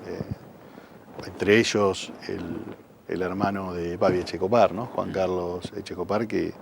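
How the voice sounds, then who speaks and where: Casting: male local politician, Buenos Aires accent, very low creaky voice, recounting personal history in an interview. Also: fairly even intonation, takes it slowly, noisy background. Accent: Buenos Aires Argentine. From San Isidro, Buenos Aires, Argentina. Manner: frequent rhetorical "¿no?" tags. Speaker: local politician, council president, interview guest. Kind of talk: conversational interview answers, personal recollection.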